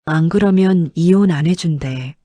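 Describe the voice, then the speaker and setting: A woman's voice: on the low side, unhurried, dark tone, no room sound. A woman playing an adult daughter confiding plaintively to her father in an audio drama.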